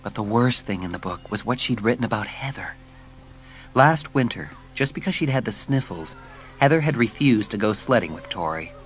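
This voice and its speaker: Casting: male storyteller with a lowish voice for scripted children's audiobook narration, soft music underneath. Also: lively intonation, moderate pace.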